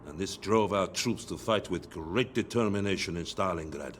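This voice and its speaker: A man's voice: sits low, moderate pace, a little hiss or hum, close to an everyday voice. An actor playing a stern male Soviet commissar who coldly defends sending soldiers to die, in a war game cutscene. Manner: cold, dogmatic, defends sacrifice. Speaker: interrogator.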